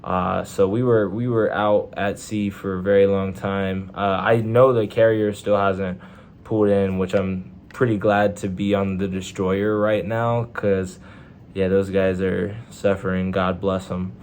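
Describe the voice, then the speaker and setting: A young man with a Texan twang, speaking in a somewhat low voice. He talks in a loose, rambling vlog style, full of slang and fillers. He is recording in a hotel room. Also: little pitch movement, measured pace, darkish tone, slight background noise. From Texas, USA. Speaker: vlogger, Navy sailor. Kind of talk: casual vlog monologue.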